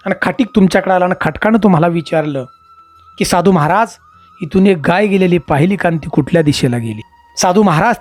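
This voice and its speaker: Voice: mid-range voice, dry recording, close to an everyday voice. A storyteller voicing a patient, learned male astrologer, explaining past-life karma emphatically and repetitively. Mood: grave, revealing.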